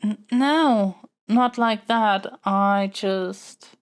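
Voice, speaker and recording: A woman's voice: mid-range voice, clear, resonant tone. A woman playing an earnest, flustered young princess in an intimate audio roleplay, stammering and hesitating as she pleads. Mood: flustered, hesitant. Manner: halting, hesitant, stammering delivery. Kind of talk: audio roleplay acting, intimate monologue to the listener.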